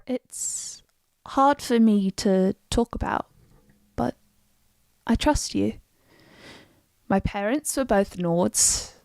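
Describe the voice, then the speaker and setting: A woman's voice: mid-range voice, extremely slow, vocal fry, long pauses, darkish tone, no echo. A woman voicing a young Nord warrior woman in a fantasy game, bloodthirsty yet wistful about her family. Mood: guarded, confiding. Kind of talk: scripted game dialogue.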